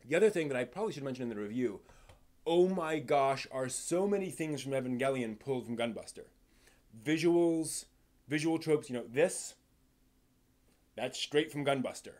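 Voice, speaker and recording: A man hosting a live stream, giving casual unscripted commentary in a halting, rambling way that repeats words and trails off. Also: medium pitch, animated, darkish tone. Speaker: live stream host and anime reviewer.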